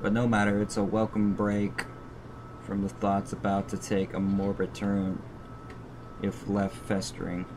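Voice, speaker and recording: A man's voice: lowish voice, unhurried. A man livestreaming a game, reading its story text aloud and commenting in an irritated, heavily profane way. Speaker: video game streamer. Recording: steady background noise.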